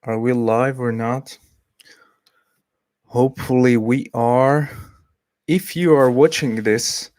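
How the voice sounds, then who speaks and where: A man's voice: medium pitch, no echo, clean studio sound. Man chatting on a livestream. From Slovakia. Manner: hesitant, chatty, reading out chat messages. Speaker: livestream host. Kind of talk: casual livestream chat.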